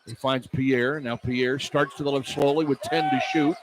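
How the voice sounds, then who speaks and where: A man's voice: mid-range voice, steady tone, normal speed. An American man calling live basketball play-by-play on radio in clipped phrases. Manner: clipped phrases tracking each pass and shot. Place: courtside at an indoor arena. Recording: arena noise behind broadcast commentary. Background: arena ambience, basketball bounce.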